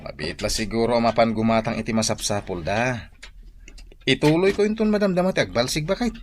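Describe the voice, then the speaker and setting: A man's voice: mid-range voice, lively intonation, fairly quick, a little hiss or hum, close to an everyday voice. A man with an Ilocano accent acting an easygoing, put-upon husband who mutters and sighs in a radio drama. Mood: obliging. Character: easygoing, put-upon married man, obliging brother-in-law, mildly grumbling.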